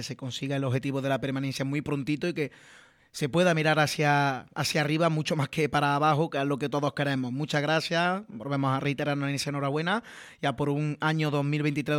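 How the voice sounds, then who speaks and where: A man hosting a local radio sports show, friendly and conversational, often tagging questions onto his sentences. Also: a little high.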